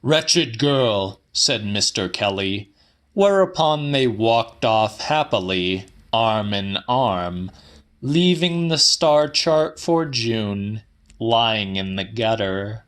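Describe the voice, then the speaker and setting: A man reading a literary audiobook in a theatrical, deliberate way, with some drama in the narration. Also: fairly low pitch, expressive, a bit bright, smooth. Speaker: audiobook reader.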